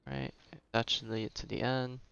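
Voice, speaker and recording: A man's voice: mid-range voice, flat delivery, a little slow, vocal fry, a bit bright, dull audio, a little hiss or hum. A man giving casual live gameplay commentary as a streamer, slangy and rambling as he thinks aloud. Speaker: game streamer.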